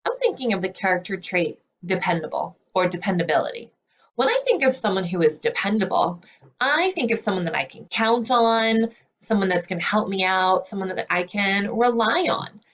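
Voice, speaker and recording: A woman speaking as a school librarian, explaining things clearly in a read-aloud lesson for young children. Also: mid-range voice, very animated, normal speed, a bit bright, highs cut off, slight background noise. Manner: clear, explanatory teacher talk.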